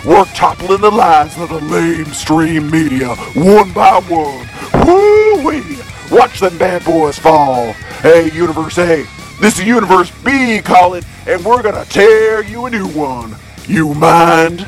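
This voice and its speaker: A man playing a brash, folksy conspiracy-radio announcer with a gravelly voice, drawling and hollering an over-the-top promo. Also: on the high side, sing-song, takes it slowly, soft background music, exaggerated voice. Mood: brash, fired up.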